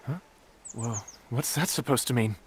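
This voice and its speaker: A man's voice: medium pitch, normal speed, noisy background, natural voice. A man playing an earnest, helpful young companion in a video game, with an eager and reassuring manner. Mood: eager, explaining. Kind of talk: video game character acting. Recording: music behind the voice.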